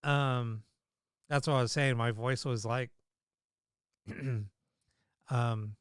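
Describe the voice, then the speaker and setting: A man hosting a paranormal livestream, chatting casually with many fillers and restarts, his voice slightly hoarse. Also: mid-range voice, fairly even intonation, extremely slow, no room sound, clean studio sound. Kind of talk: casual live-stream chat.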